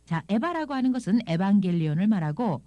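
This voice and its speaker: A woman presenting a scripted audio language lesson, explaining things in an expressive reading style. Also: mid-range voice, fast talker, dry recording, dull audio. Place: dry studio.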